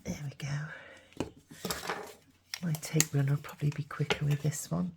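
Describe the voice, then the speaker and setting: A middle-aged woman with a croaky voice, half-whispering to herself while making a collage. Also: low voice, flat delivery, unhurried, background noise. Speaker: craft video host. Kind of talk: soft-spoken crafting commentary.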